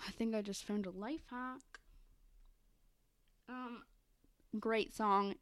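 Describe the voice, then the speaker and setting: A woman's voice: fairly high pitch, steady tone, slow pace, no room sound. A young woman co-hosting a podcast, chatting casually about movies in a playful, enthusiastic manner. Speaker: podcast co-host. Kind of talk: casual movie discussion chat.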